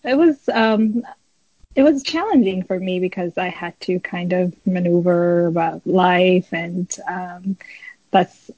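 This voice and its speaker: A woman from Ethiopia, around her thirties, telling her personal story casually as a podcast guest, pausing often as she gathers her thoughts. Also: on the low side, dark tone, dry recording. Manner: hesitant, many pauses while gathering thoughts. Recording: slightly dull, muffled lo-fi audio.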